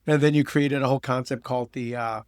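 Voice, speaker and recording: A man's voice: medium pitch, fairly even intonation, fast, smooth, no room sound, studio quality. A man hosting a podcast with his daughter, chatting loosely and joking with many fillers. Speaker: podcast host. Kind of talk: casual chat.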